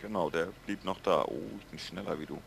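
A man with a northern German accent chatting calmly while co-playing a farming game, explaining things plainly. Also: deep voice, a little fast, vocal fry, a little hiss or hum. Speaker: co-player. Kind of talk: casual gaming chat.

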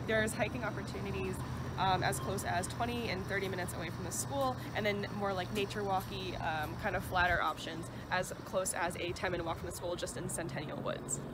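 A woman's voice: medium pitch, fairly even intonation, brisk. A young woman chatting casually and enthusiastically to her phone camera as a student vlogger. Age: young adult. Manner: upbeat, enthusiastic. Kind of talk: casual vlog talk to camera. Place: small kitchen, gas station, car and outdoor trail. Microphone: phone at arm's length. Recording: faint background noise.

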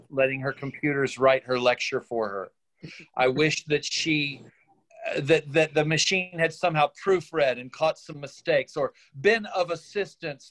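A man giving considered feedback on a video call, deliberate and a little hesitant. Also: on the high side, a bit bright, poor audio. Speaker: participant giving script feedback. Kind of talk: considered critique.

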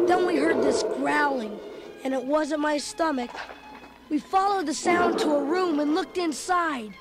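An actor voicing an excitable young boy who narrates a storybook read-along in an earnest, dramatic manner. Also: extremely high pitch.

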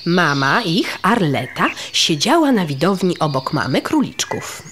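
Female children's story narrator, lowish voice, very animated delivery, some background noise. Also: measured pace. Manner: expressive storytelling for young children.

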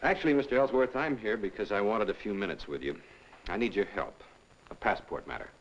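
An actor playing a calm consulate official in an old film, polite, measured and probing. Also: male voice, on the low side. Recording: dull, hissy old film soundtrack.

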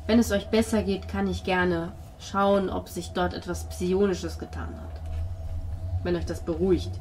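A woman's voice: on the low side, steady tone, unhurried, darkish tone, slight background noise, lightly acted. A woman voicing a sleepy, dismissive male priest in a tabletop game, waving away worries. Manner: dismissive, reassuring.

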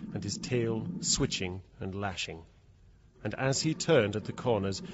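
A man's voice: lowish voice, lightly acted. An actor playing a terrified, trapped man who recounts his ordeal in suspenseful, theatrical audio drama narration. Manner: suspenseful, theatrical, swinging from hushed to frantic. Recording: dull, lo-fi audio with loud background noise.

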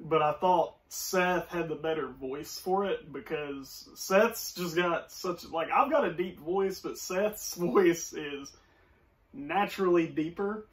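Young male co-host, slightly high voice, rambling and halting, picked up from across a small room. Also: fairly bright tone. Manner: rambling, many fillers and restarts. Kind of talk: casual chat. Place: small room, seated on a couch. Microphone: far camera. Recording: voice from a distance.

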